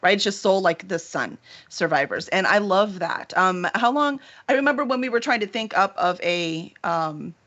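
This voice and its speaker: A woman's voice: mid-range voice, animated, normal speed, hardly any pauses, a bit bright. A woman hosting a casual book livestream as the publisher, talking in an enthusiastic, gushing manner. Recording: slightly dull online stream audio.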